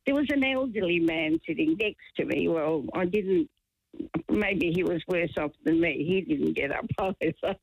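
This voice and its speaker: A woman's voice: lowish voice, lively intonation, normal speed, gravelly, husky, no room sound. A chatty woman, calling in to a radio show and telling an indignant story. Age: 70s. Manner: chatty, indignant, self-deprecating. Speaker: radio caller. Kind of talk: phone-in chat. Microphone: telephone. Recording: tinny phone line.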